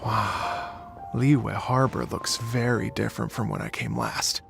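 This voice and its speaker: An actor playing an earnest, polite male concert conductor in a game, with expressive, musical turns of phrase that turn hesitant when he is pressed. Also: medium pitch, slow, creaky. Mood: wonder. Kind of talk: game dialogue. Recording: game audio with music underneath.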